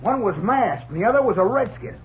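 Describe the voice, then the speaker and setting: A man playing an excitable, suspicious frontier ranch hand in a 1950s radio western. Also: high-pitched, fairly quick. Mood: indignant. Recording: muffled 1950s radio recording, crowd behind.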